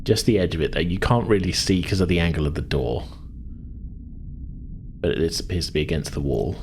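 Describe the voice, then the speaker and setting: Low-voiced man running a tabletop game. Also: a little fast, darkish tone, slight background noise. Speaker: game master. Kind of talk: improvised tabletop game narration and casual chat.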